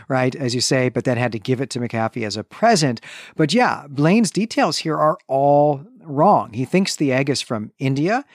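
A man co-hosting a book podcast, giving a measured, analytical recap of the chapter with casual asides. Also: mid-range voice, no room sound, studio quality. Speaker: podcast co-host.

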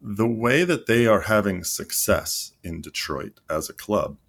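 A man's voice: fairly low pitch, animated, a little slow, gravelly, breathy edge. A man answering interview questions as a league marketing executive, earnest and explanatory with frequent fillers and restarts. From United States.

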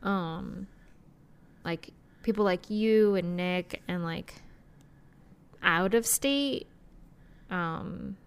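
A woman co-hosting a podcast, chatting casually in a relaxed, hesitant way that often trails off. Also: on the low side, very slow, creaky, pauses often, smooth. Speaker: podcast co-host. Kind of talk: casual chat.

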